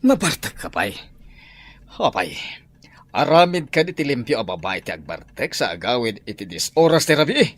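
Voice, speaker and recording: A man's voice: mid-range voice, sing-song, normal speed, a bit bright. A man playing a stern, worried father in an audio drama, with a Filipino accent. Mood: angry, scolding. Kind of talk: Ilocano audio drama acting.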